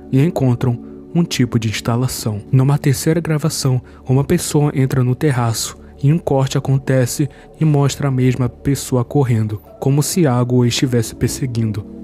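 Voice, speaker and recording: Brazilian guy narrating horror recap, dark mellow voice, average pitch, light music behind. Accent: Brazilian Portuguese. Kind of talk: scripted narration summarizing an analog horror series.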